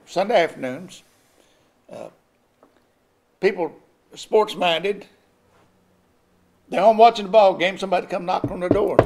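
High-voiced older male pastor preaching. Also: vocal fry, a little mellow. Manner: preaching with rising emphasis and occasional chuckles. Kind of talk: sermon. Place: church sanctuary at a pulpit. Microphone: pulpit microphone.

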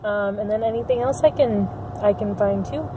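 A young woman vlogger talking casually to her viewers into a phone camera held at arm's length, with plenty of ums and quick asides. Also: medium pitch, background noise. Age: young adult. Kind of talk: casual vlog chat. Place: car and bookstore, later a home room at a webcam. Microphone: phone camera at arm's length, later a webcam.

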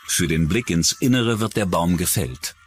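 A man narrates a true-crime documentary from a script. His mid-range voice moves with a very lively intonation, and soft music sits behind it. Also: sits low, moderate pace.

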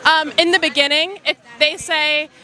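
A woman's voice: high-pitched, steady tone, normal speed, pushed and bright, some chatter behind. A young woman from Florida answering a street interviewer at night, giggly and hesitant. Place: city street at night, outdoors.

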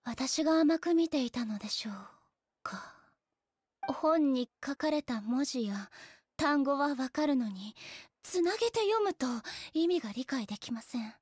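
A woman voicing a composed, dignified female ruler in a Japanese game, speaking formally and earnestly in measured, polite phrases. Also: sits high, lively intonation, a little mellow, dry recording. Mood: puzzled, earnest.

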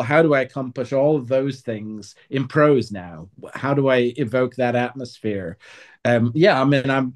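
A man's voice: medium pitch, darkish tone. A man speaking as a writer being interviewed, explaining things in a reflective, conversational way. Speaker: podcast guest, writer.

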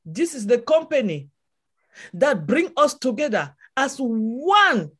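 A woman's voice: high voice, sing-song, takes it slowly, fairly bright tone, husky. A woman from Cameroon, a native French speaker with a Cameroonian French accent, giving a passionate motivational testimony on a video call with a repetitive preaching cadence. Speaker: webinar speaker.

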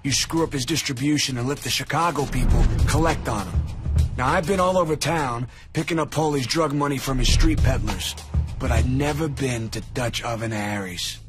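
An actor playing a cynical young mobster in a video game, speaking in a cool, deadpan, sardonic way. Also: male voice, medium pitch, normal speed, over music, natural voice. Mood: dry, grim. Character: dry, cynical young mobster antihero, narrating. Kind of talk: noir video game narration and dialogue.

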